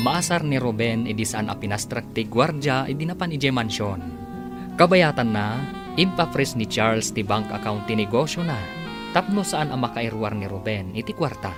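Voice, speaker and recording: Animated male radio drama announcer over music. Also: mid-range voice. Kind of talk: scripted radio drama narration.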